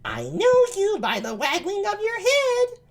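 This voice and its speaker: A woman plays a lady at a masked ball in a read-aloud stage comedy. Her voice is thin and very high, with lively swoops. She is teasing and insists that she is right. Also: measured pace, exaggerated voice. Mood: teasing, insistent. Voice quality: thin high-pitched put-on voice.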